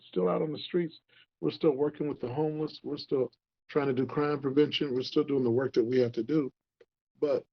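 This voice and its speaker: A man's voice: fairly high pitch, darkish tone, rough recording. Earnest sixty-something man, rambling. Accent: Upper Midwest American. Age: 60s. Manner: earnest, rambling, repeats himself for emphasis. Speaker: community outreach leader. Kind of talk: casual talk to camera.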